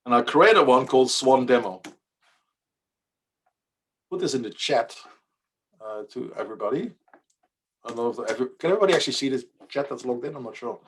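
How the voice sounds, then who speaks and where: A man's voice: medium pitch, animated, a little fast, long pauses, fairly bright tone, husky. A man whose first language is Dutch presents an online coding workshop as the presenter, talking casually with many fillers and restarts over a computer mic on a video call.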